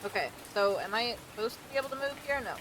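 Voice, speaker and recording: A woman game streamer doing a casual, expressive let's play, reacting and reading on-screen text aloud. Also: fairly high pitch, fast talker, slight background noise, low-quality recording. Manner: expressive, reactive, mild exclamations. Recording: loud rain and game ambience behind. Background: loud rain and game ambience.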